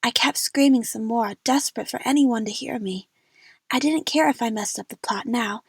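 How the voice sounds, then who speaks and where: A woman's voice: a little high, normal speed, breathy edge, no echo, studio quality. A woman narrating a first-person story dramatically for an amateur audio drama.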